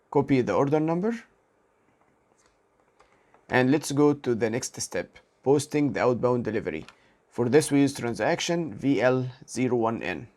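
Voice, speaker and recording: A man with a mid-pitched voice narrates a software tutorial as an instructor. He works through each step methodically, explaining what it means and reading codes out one character at a time. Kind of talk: step-by-step screen demo narration.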